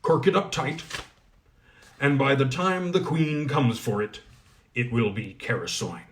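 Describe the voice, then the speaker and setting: A man doing a gruff goblin smith. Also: mid-range voice, unhurried, natural voice. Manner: brusque, commanding. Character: gruff powerful male goblin smith, blunt and testy.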